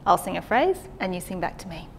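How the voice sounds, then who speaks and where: A woman in her thirties with an Australian accent, teaching a song by singing short phrases slowly and explaining between them. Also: fairly low pitch, sing-song, gravelly, slight background noise. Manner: sings phrases slowly for echoing back, then explains briskly. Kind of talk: singing lesson, sung phrases and spoken instructions. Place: plain studio with grey backdrop.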